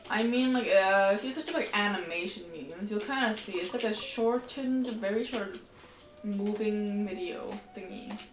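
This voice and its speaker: A woman's voice: medium pitch, normal speed. Roomy-sounding woman streamer chatting casually. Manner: rambling, slangy, informal. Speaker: game livestreamer. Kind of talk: casual chat while playing. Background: faint game music.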